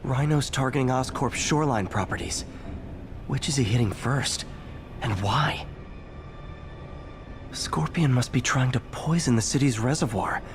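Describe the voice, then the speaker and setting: A man's voice: mid-range voice, unhurried, pauses often, faint music behind, natural voice. A man playing an earnest young superhero in a video game, thinking aloud in a conversational way. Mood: puzzled, focused. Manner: conversational, musing to himself. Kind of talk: naturalistic video game acting.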